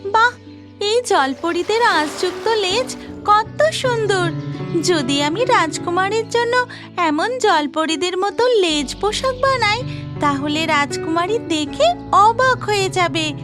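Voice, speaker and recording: A woman's voice: very high voice, expressive, slow, over music, exaggerated voice. A woman voicing a cheerful young tailor fairy, polite and full of exclaiming wonder, in a children's cartoon. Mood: delighted wonder.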